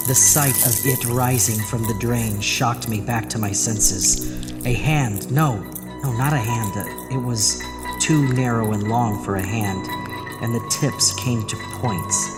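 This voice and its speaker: Male actor as meek troubled man, mid-pitched, hesitant, anxious, horror audio drama, loud music underneath. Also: unhurried, natural voice. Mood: horrified. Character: meek, haunted man narrating his own horror story. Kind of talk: first-person horror audio drama narration and dialogue. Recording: music behind the voice.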